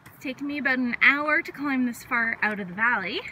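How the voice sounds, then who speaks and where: A woman in her thirties chatting casually in short, relaxed remarks as she films a hiking vlog on a phone. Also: high-pitched, brisk, a little hiss or hum. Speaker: hiker vlogger. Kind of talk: casual trail vlog narration to camera. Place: outdoors on mountain trail, sometimes inside hut. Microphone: handheld phone at arm's length.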